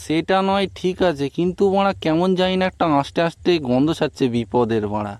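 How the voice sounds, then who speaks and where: A man's voice: fairly high pitch, animated, a little fast, heavy background noise, lightly acted. A man voicing a crude, suspicious young club mate in a comic cartoon. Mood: uneasy. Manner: swearing, suspicious.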